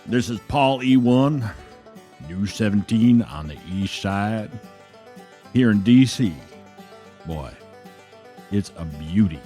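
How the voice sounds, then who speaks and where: A man's voice: on the low side, animated, takes it slowly, pauses often, mellow, faint music behind. A man playing a laid-back, sarcastic radio disc jockey of the future, savoring his words in loose patter. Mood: breezy, wry. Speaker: radio host.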